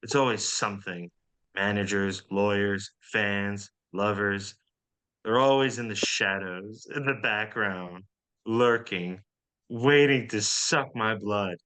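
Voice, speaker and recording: A man's voice: on the low side, sharp tone, clean tone. A man playing a vain, self-pitying drag diva with theatrical delivery in a comedy table read. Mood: wounded, dramatic. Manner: theatrical, drawn-out.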